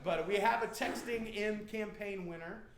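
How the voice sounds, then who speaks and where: A man's voice: high-pitched, moderate pace, a little hiss or hum. An American man hosting a band's live stream, telling stories and joking in a playful, rambling, slangy way. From Indiana, United States. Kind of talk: casual joking banter with viewers. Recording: roomy, echoing live stream sound.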